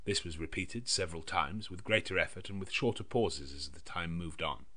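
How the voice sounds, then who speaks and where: A man narrating a gothic novel in a measured, clearly enunciated literary manner. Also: low voice, sharp tone. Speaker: audiobook narrator. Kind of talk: scripted narration of a gothic novel in diary form.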